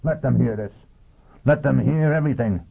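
A fanatical fugitive from a science fiction story , acted out by a male reader, savage and defiant. He exhorts in short bellowed bursts with a gravelly rasp. The recording is thin and phone-like, with a narrow band. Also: on the low side, normal speed.

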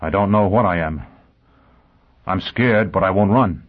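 Storybook tough guy done by a male narrator: very deep, gruff, curt, defiant, slightly muffled sound. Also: a little slow. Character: gruff, defiant, angry tough man, infatuated.

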